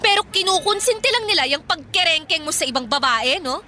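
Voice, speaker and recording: A sharp, high-voiced feisty daughter. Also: female voice, expressive, brisk, a little hiss or hum, heavily acted. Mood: scornful, accusing. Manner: accusing, sarcastic. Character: feisty, suspicious, sharp-tongued young daughter. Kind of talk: Filipino radio drama acting.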